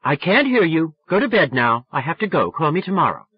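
A man's voice: high voice, steady tone, measured pace, dull audio, voice pushed up. A man voicing an exasperated young woman in an audiobook, curt and weary as she scolds and brushes off a drunk caller. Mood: weary, dismissive.

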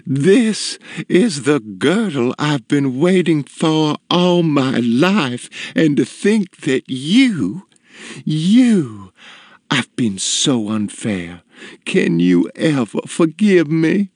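A man voicing an imperious, demanding older woman in a story, with drawn-out, commanding words. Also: sits high, sing-song, dry recording, clean studio sound, pitched above the performer's own voice, exaggerated voice. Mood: sweet, rapturous. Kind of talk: storybook character voice in narration.

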